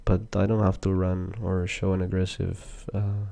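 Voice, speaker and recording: Soft deep peruvian guy, gravelly low voice, talks slow with lots of uhs. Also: monotone. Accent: Peruvian Spanish, mixed with Mexican and Argentinian. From Peru. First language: Spanish. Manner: soft-spoken, hesitant with many fillers. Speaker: interview guest. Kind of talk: soft-spoken casual interview.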